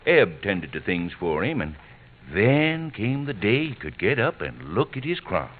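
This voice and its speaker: An actor playing a theatrical, mock-dramatic narrator in an old-time radio comedy. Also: male voice, fairly low pitch, sing-song, measured pace. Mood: sympathetic. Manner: theatrical, emphatic. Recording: tinny vintage radio recording. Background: music.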